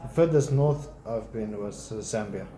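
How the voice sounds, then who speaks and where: A man's voice: lowish voice, moderate pace, dark tone. A young man from South Africa chatting casually as a customer, hesitant with frequent ums and restarts. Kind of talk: casual chat. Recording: echoey room, faint music.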